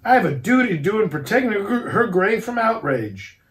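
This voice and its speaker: A man voicing an anguished, outraged young nobleman who cries out in high, shouting protest. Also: lively intonation, measured pace, roomy sound. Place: garage.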